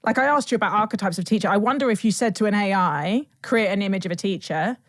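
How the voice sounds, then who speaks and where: A woman's voice: mid-range voice, fast, no room sound. Woman podcast host, dry close sound. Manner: warmly affirming, thoughtful questions. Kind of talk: conversational interview.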